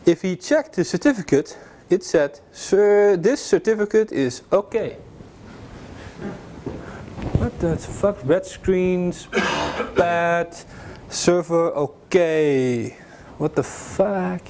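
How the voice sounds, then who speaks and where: A man's voice: high-pitched, takes it slowly, a little mellow, a little hiss or hum. A young adult man giving an informal security lecture to a class, joking with many fillers and restarts, recorded by a far camera in a classroom. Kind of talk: informal technical lecture with slides. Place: classroom with projector screen.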